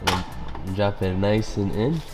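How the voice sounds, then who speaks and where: A man's voice: fairly low pitch, slow pace, noisy background. A young man chatting through a cooking session in a big home kitchen, upbeat and gushing about the food. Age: young adult. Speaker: cooking vlogger. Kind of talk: casual cooking commentary.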